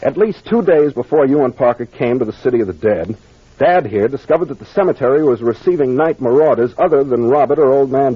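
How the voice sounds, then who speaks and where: An American man playing a confident detective captain in a 1940s radio mystery, explaining things deliberately and with authority. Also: mid-range voice, breathy edge. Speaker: actor playing the investigator. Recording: muffled old radio recording.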